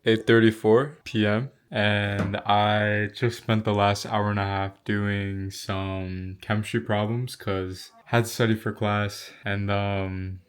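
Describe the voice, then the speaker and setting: A man's voice: fairly low pitch, level tone, takes it slowly, hardly any pauses, clean tone. A man in his twenties talking casually to camera in a vlog, rambling and earnest, with plenty of slang. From United States. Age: 20s. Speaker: vlogger.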